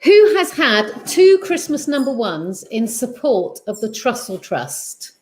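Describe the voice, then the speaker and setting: Woman reading quiz questions, higher voice. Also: very animated, a little mellow, poor audio. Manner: reads questions slowly and repeats them, then chats. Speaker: quiz host. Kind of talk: casual online quiz reading.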